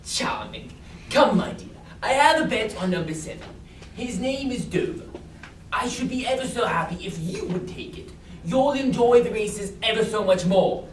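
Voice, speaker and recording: A woman's voice: mid-range voice, expressive, moderate pace, natural voice. A woman playing a bossy, superior male professor in a stage musical, drilling commands in a clipped manner. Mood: courteous. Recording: echoey stage, mic far off, noise in the background.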